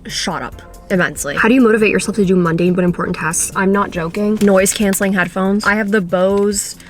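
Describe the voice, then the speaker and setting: A woman's voice: mid-range voice, steady tone, a little fast, faint music behind. A woman in her twenties, a native Polish speaker, chatting casually through a Q&A vlog and swearing offhandedly. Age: 20s. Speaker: YouTuber.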